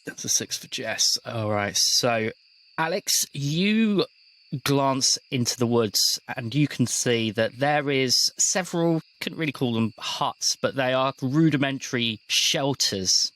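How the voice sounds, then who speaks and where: A man's voice: medium pitch, animated, slow, fairly bright tone, low-quality recording. A man running a tabletop role-playing game as game master, narrating scenes as he improvises them. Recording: clear background noise.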